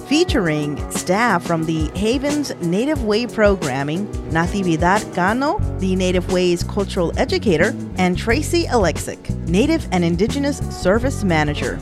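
A woman hosting and producing a radio interview show, reading lively scripted introductions. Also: mid-range voice, moderate pace, hardly any pauses, music in the background. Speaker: radio host.

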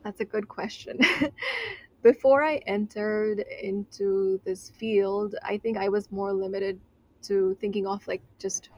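A woman's voice: mid-range voice, a little mellow, poor audio. A young woman from Nepal whose first language is Nepali, a PhD student explaining her research in a radio interview, with frequent fillers. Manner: explains science slowly with fillers and hedges.

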